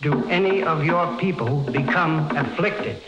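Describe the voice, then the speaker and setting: A man's voice: fairly high pitch, unhurried, lightly acted. A man playing a deferential, earnest expedition translator in a 1950s radio drama, speaking respectfully. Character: eager, deferential young expedition crewman and translator. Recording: dull vintage radio recording, music behind.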